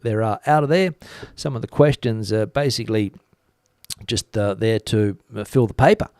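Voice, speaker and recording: A man's voice: on the low side, normal speed, darkish tone, dry recording, clean studio sound. A man hosting a live stream, talking through the news in a casual, conversational way and musing as he answers viewers. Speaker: host of a live commentary stream. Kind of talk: casual news commentary, reading out viewer comments.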